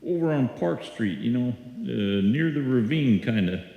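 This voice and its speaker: A man's voice: medium pitch, expressive, a little slow, gravelly, highs cut off. A man playing a folksy, apologetic small-town drugstore clerk in a live old-time radio drama, with hesitant, stammering talk. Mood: sheepish, apologetic. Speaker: amateur radio play actor. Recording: echoey hall, live stage performance.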